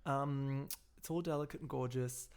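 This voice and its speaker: A man's voice: medium pitch, steady tone, moderate pace, darkish tone, smooth. An Australian man chatting in a camp, gossipy way on a TV recap podcast, laughing often. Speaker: podcast co-host. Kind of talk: casual gossipy recap chat.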